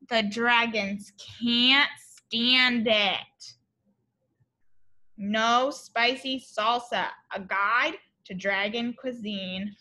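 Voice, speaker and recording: A woman in her thirties, an expressive teacher reading a picture book aloud to young children and explaining deliberately. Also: high voice. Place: school classroom, indoors.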